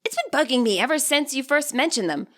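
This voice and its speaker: A woman plays an earnest, polite teenage girl in a story audiobook. Her voice is high and bright, with lively swings and a chatty, animated delivery. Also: fairly quick. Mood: earnest, apologetic.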